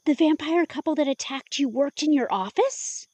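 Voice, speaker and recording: A woman's voice: high voice, animated, normal speed, darkish tone, dry recording. A woman acting a curious, teasing helper in an intimate audio drama, playful and blunt as she prods for more.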